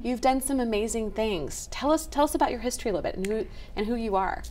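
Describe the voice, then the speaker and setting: A woman's voice: on the high side, fast talker, darkish tone, a little hiss or hum. A woman hosting a talk show, asking questions in a friendly, curious way.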